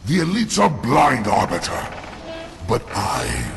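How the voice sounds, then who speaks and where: An actor playing a defiant, bitter alien rebel leader in a game, speaking gravely and with theatrical passion. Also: male voice, mid-range voice, huge pitch swings, slow, slight background noise, full character voice.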